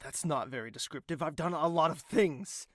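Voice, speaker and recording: A woman acting a brooding, evasive young royal in an audio drama, husky and guarded but insistent. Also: low-pitched, moderate pace, a bit bright, no room sound, studio quality. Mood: insistent, defensive.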